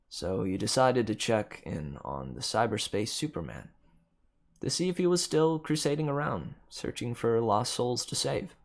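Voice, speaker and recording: A man reading a dark second-person story aloud as scripted narration, with dramatic emphasis that swells and drops. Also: on the low side. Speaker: amateur story reader.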